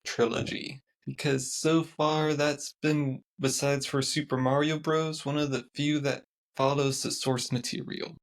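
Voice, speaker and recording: A man's voice: fairly high pitch, flat delivery, unhurried, hardly any pauses. A young man chatting casually as a guest in a dry, matter-of-fact way. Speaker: podcast guest. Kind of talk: casual chat.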